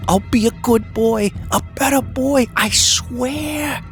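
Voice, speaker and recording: Terrified eleven-year-old boy played by a man in a horror story, praying desperately, voice pushed high. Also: slow, fairly bright tone, faint music behind, full character voice. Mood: pleading, terrified. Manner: pleading, rising in pitch.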